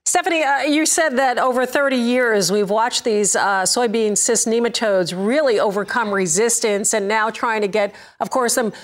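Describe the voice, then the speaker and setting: A woman's voice: fairly high pitch, normal speed, hardly any pauses. A middle-aged woman anchoring a farm news show in a TV studio, interviewing a guest.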